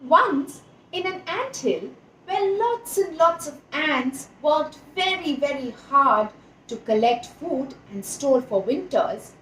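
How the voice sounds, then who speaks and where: A woman's voice: high voice, a bit bright, reverberant, slight background noise, low-quality recording. A woman telling a children's story as its host, speaking straight to the kids and leaning on repeated words for emphasis. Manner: addresses children directly, emphatic word repetition.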